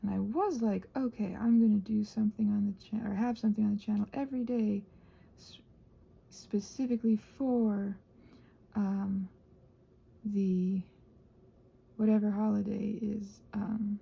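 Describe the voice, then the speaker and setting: A woman rambling casually as a video host, thinking aloud and trailing off, her voice husky and breathy and often sinking toward a whisper. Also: medium pitch, fairly even intonation, unhurried, lo-fi sound. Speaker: video host and ASMR creator. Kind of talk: casual rambling craft chat.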